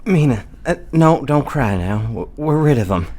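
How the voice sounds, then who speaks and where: An actor playing a gallant young man, mortally wounded and selfless, speaking weakly and haltingly in a radio play. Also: medium pitch, animated, darkish tone. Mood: dying, tender. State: weak, faltering. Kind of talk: radio drama acting.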